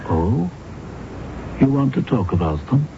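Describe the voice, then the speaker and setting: An actor playing a concerned, probing university professor in a radio drama. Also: male voice, mid-range voice, sing-song. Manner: deliberate, questioning, avuncular. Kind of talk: 1970s radio drama acting. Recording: muffled, noisy old radio broadcast.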